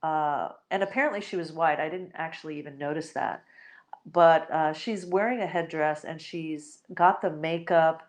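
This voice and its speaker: A woman with a notably low voice talks unscripted into a close microphone. She drifts from thought to thought, pausing on fillers and starting sentences over, in the easy tone of a spiritual podcast host. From United States. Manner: reflective, meandering with many fillers and restarts. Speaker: podcast host, shamanic healer. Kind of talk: spontaneous spiritual podcast talk.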